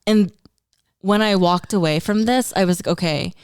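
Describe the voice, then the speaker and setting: A woman's voice: medium pitch, normal speed, smooth, no room sound, clean studio sound. A young woman whose first language is Tagalog, casually telling a story in a podcast chat and acting out what people said. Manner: animated, acts out quoted lines in her own voice. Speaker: podcast guest.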